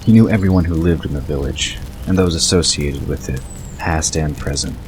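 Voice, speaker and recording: A man's voice: very deep voice, normal speed, darkish tone, soft background music, rough recording. A man reading scripted narration for an audio drama.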